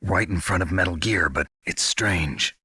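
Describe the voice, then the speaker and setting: An actor playing a gruff, weary special-ops soldier in a video game, terse, deadpan and gravelly. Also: male voice, sits low, unhurried, no room sound, studio quality, full character voice. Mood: terse, questioning. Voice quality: gravelly, husky. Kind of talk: dramatic video game voice acting.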